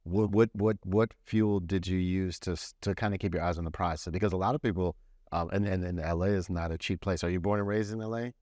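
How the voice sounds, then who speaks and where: A man hosting an interview podcast, asking questions in a halting way, often stopping and starting over. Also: low-pitched, fairly even intonation, a little mellow, no echo. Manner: halting, many restarts and fillers. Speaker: podcast host.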